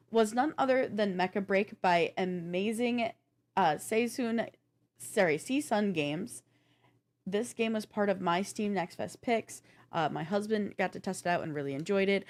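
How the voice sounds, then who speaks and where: A woman co-hosting a gaming news show, counting down a list in a casual, chatty, informal way. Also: medium pitch.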